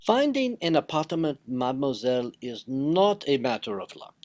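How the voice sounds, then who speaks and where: Male radio drama actor as pompous, courteous Belgian sleuth, French-speaking accent, very animated delivery. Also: mid-range voice, normal speed, natural voice. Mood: courteous, precise. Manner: formal, precise, courteous, pompous. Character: fussy, polite, self-important Belgian male detective. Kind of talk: 1940s radio drama acting.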